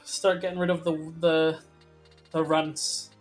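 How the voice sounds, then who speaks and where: A man with a fairly high voice talks casually over a video game, tossing in joking remarks as a co-commentator. Soft music plays behind him. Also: flat delivery, slow. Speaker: co-player and commentator on a game series.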